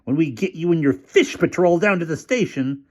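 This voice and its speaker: A man voicing an officious, easily flustered male police officer shouting orders. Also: a little high, lively intonation, moderate pace, voice pushed up, lightly acted. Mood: frantic.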